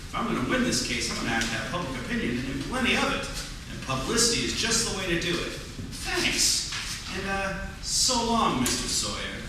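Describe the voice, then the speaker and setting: Man acting an animated, earnest lawyer. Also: sits high, a little fast. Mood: sly, confident. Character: earnest, scheming male lawyer, determined. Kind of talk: 1940s radio play acting on stage. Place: stage of a community theatre. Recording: echoey theatre from far off, noisy.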